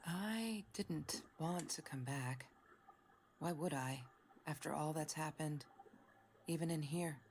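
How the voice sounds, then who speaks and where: An actress voicing a reluctant young woman in a game, speaking in a low, slow, subdued way. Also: a little mellow, a little hiss or hum. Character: reluctant young woman returning to a friend's room. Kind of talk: game voice acting.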